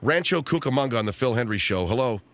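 A man's voice: medium pitch, animated, fast talker. A man hosting a talk radio show, exasperatedly refereeing arguing guests and callers. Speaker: radio host.

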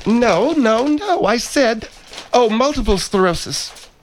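A man voicing a scatterbrained society woman who goes to charity galas, hoarse and tripping over her words. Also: very high voice, expressive, slow, a little hiss or hum, voice pushed up, exaggerated voice. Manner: flustered, trips over words. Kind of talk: comedic radio character bit.

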